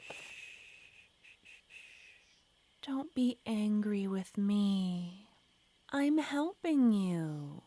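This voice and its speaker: Brief: a woman as a sweetly menacing young witch, breathy close whispers, very slow delivery. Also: medium pitch, warm tone, dry recording. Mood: soothing whisper. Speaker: ASMR roleplay performer. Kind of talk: ASMR roleplay.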